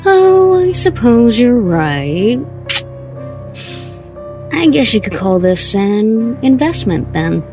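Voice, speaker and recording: An actress playing a silky, scheming female crime boss in an audio drama, coy and smug with measured delivery. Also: a little high, big pitch swings, a little mellow, soft background music, exaggerated voice. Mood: smooth, persuasive.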